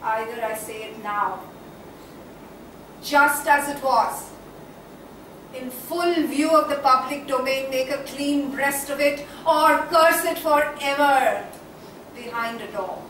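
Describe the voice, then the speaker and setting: Casting: actress playing soul-baring Indian woman in stage soliloquy, high voice, slow declamatory delivery, heavy room echo. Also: a bit bright. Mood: solemn, reflective. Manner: declamatory, deliberate, with dramatic pauses and repetitions. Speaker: stage actor. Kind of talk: theatrical dramatic monologue. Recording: very echoey stage, distant mic, faint noise.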